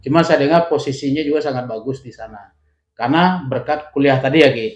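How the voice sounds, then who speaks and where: A man with a mid-pitched voice hosts a casual interview. He sums up and comments as he goes, in a conversational way. The recording is slightly dull, with strong room echo. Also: fairly quick. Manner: recaps and comments on the guest's answers. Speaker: interview host. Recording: dull sound, echoey room.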